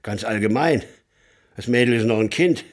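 An actor playing a gruff, defensive middle-aged butcher and father in a radio drama, husky and evasive. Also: medium pitch, moderate pace, lightly acted. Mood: indignant, impatient. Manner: evasive, indignant.

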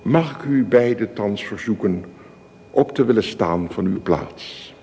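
An actor playing a solemn church minister in a radio drama, speaking formal, measured, ceremonious wedding liturgy. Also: male voice, fairly low pitch, lively intonation, mellow. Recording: reverberant church-like hall, clear hiss.

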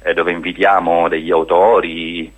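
A man's voice: low-pitched, flat delivery, a little slow, narrow, tinny audio. A man speaking as a guest in a radio interview, explaining a project in an earnest way. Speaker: guest, spokesman of a hiking association.

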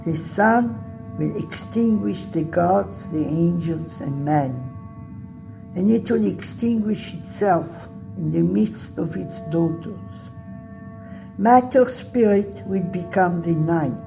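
A woman giving a solemn, deliberate recitation of poetry in a radio drama. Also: lowish voice. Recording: music behind the voice. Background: clearly audible music.

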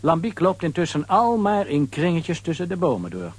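A man narrates a children's adventure story. His voice is slightly high and husky, and he tells it with very lively, varied intonation. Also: normal speed.

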